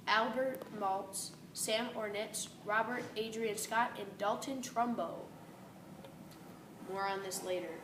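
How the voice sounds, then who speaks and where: A woman presenting a newsreel as host and interviewer, recorded in an echoey space with noise. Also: medium pitch. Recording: echoey, distant mic, clear background noise.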